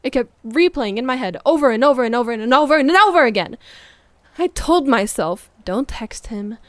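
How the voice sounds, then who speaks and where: A woman playing a hurt, needy, accusing girlfriend in an intimate audio roleplay, moving between sharp reproach and hushed murmurs. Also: a little high, expressive, moderate pace, no echo, studio quality, close to an everyday voice. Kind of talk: intimate audio roleplay monologue, dramatic acting.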